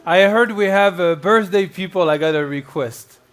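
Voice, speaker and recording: A man from France with a French accent, doing stand-up with dry setups and deadpan punchlines. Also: high voice, normal speed, noisy background. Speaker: comedian and violinist. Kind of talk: stand-up comedy.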